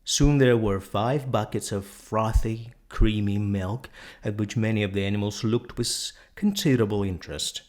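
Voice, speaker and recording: A man reading a scripted storybook narration in a measured, deliberate manner. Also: on the low side, a little mellow.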